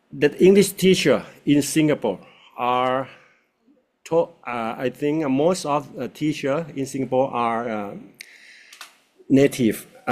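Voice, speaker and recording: A man with a Thai accent, hosting a casual English conversation and speaking haltingly with many fillers. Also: mid-range voice, a little mellow. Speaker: host.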